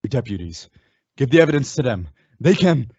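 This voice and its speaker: A teenage man playing an earnest, measured masked cowboy hero in an old-time western radio drama. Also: medium pitch, very animated, warm tone, breathy edge, dry recording. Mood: determined. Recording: dull, dry lo-fi radio recording.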